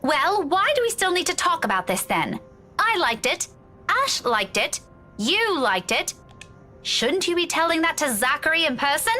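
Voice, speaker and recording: A woman's voice: high-pitched, big pitch swings, moderate pace, bright, forceful tone, exaggerated voice. An actress in a visual novel playing a prickly, weary young woman who speaks curtly and impatiently. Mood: dismissive. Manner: curt, sardonic, impatient. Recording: music behind the voice.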